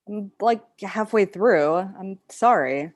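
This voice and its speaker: A woman acts out a direct, exasperated girlfriend who is also concerned. She sounds naturalistic, with hesitations that turn pointed, at a middle pitch for a woman, like a drama read-through. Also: lively intonation, takes it slowly, a little mellow. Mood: casual, puzzled. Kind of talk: live table read acting.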